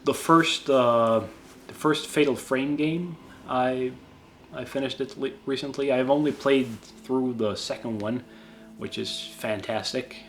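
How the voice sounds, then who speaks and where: A Swedish man with an accent, a YouTube creator casually answering viewer questions, halting and self-deprecating with many restarts. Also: mid-range voice, slight background noise. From Sweden.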